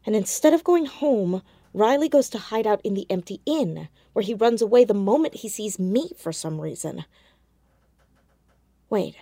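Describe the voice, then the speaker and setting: A woman's voice: mid-range voice, lively intonation, moderate pace, warm tone, no room sound. A woman playing a fearless, sceptical teenage girl sleuth in a naturalistic audio drama, probing bluntly with wry directness. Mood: reasoning, insistent.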